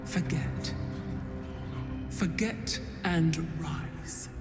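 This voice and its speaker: An actress playing a cold, cruel and seductive dark goddess in a video game, speaking in solemn, commanding incantations. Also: female voice, fairly low pitch. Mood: imperious. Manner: solemn, imperious, deliberate incantatory delivery. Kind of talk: dramatic video game acting. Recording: long cavernous reverb under loud music.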